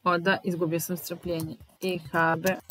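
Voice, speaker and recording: A woman in her thirties with a Serbian accent, teaching German slowly, repeating each phrase while typing, in a small room at home. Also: on the low side, flat delivery, soft background music. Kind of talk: slow, deliberate language lesson.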